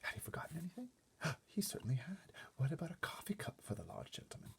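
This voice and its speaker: A man reading a children's book aloud in an expressive, often hushed way, adding casual explanatory asides. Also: a little high, quick pace, gravelly, whispered. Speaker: storybook reader.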